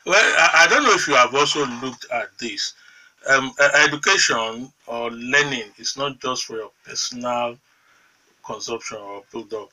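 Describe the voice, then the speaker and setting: Nigerian male morning-show host, emphatic questioner, bright tone, slightly distant. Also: medium pitch, moderate pace.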